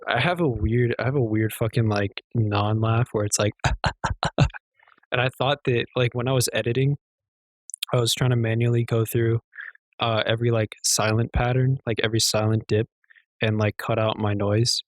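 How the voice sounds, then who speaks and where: Quiet, slightly hoarse young man. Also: medium pitch, level tone, normal speed, no echo. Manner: rambling, joking, swears freely. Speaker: podcast co-host. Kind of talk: casual chat.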